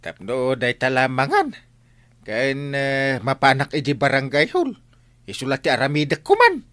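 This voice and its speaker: Stammering, bright-voiced henpecked village captain. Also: male voice, mid-range voice, huge pitch swings, moderate pace, no echo. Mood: whining. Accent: Filipino (Ilocano). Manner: stammering, exclamatory. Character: bumbling, whining henpecked husband and village captain. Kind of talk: comedic radio drama acting.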